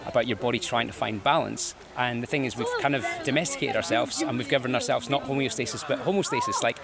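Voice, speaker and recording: A man's voice: mid-range voice, fast, gravelly, a loud crowd. A man working as a fitness coach, explaining his ideas in an enthusiastic, persuasive way. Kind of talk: casual explanation.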